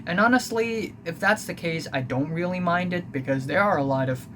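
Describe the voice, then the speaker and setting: A man's voice: high-pitched, brisk, noisy background. A teenage man from Hong Kong with a Hong Kong accent, Cantonese his first language, rambling through a blunt, casual album review with lots of fillers. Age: teens. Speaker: music reviewer.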